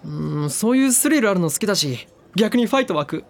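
A man's voice: high-pitched, lively intonation, fairly quick, vocal fry. An actor in a drama CD playing a reckless, thrill-seeking young man who talks in slangy, clipped casual speech. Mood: uneasy. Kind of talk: drama CD acting. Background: background music.

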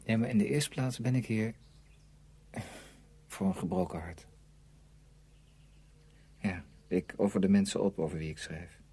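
An actor playing a brooding, self-tormented novelist in a radio play, speaking in an introspective, deliberate way. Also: male voice, low voice, steady tone, warm tone, slightly rough, exaggerated voice. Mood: anguished, confessional. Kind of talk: Dutch radio play acting.

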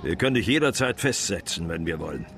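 An actor playing a blunt, mocking, threatening police detective in a hard-boiled crime radio play. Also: male voice, low-pitched, big pitch swings, fast talker, a bit bright, faint music behind, exaggerated voice. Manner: taunting, blunt.

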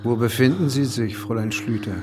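An actor playing an enigmatic, insistent man in an audio drama, speaking deliberately with formal politeness and calm authority. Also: mid-range voice, music in the background, natural voice. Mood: calmly commanding. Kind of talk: German audio drama acting.

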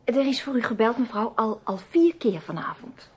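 A woman's voice: on the high side, lively intonation, normal speed, a little mellow, slightly rough. A woman playing a young housemaid in a Dutch radio play, deferential and matter-of-fact. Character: young, dutiful, plain-spoken female housemaid.

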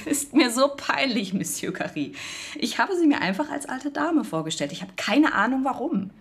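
A woman's voice: high-pitched, big pitch swings, normal speed, husky, full character voice. An actress playing a polite, candid young woman serving as a wartime secret agent in a naturalistic radio drama. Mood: embarrassed, apologetic.

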